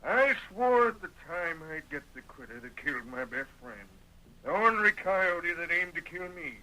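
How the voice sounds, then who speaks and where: An actor playing a crusty, bossy old rancher with an American Western accent, telling things in a folksy, cantankerous way in old-time radio style. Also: male voice, on the high side, sing-song, bright, forceful tone, slight background noise, full character voice. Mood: grim recollection. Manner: folksy, cantankerous, deliberate storytelling. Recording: thin, tinny old radio recording.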